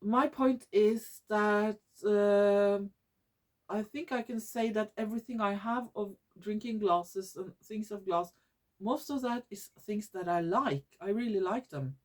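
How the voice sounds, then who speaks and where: Slow-talking Norwegian woman vlogger. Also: mid-range voice. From Norway. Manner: searches for English words, repeats and restarts. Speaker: YouTube channel host. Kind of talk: casual vlog monologue.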